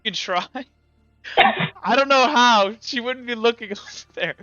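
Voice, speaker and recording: A man improvising casual tabletop narration as game master, in a halting, informal way. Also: very high voice, bright, forceful tone, no echo, highs cut off, soft background music.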